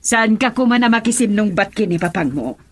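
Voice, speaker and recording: A woman's voice: mid-range voice, animated, brisk, close to an everyday voice. A woman playing a worried, scolding middle-aged mother of a grown son in a radio drama. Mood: reproachful.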